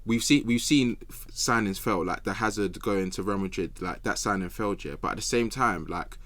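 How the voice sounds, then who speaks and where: A man's voice: lowish voice, normal speed, fairly bright tone. A young man with a London accent in a casual football debate, emphatic and conversational with plenty of slang. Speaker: podcast guest pundit.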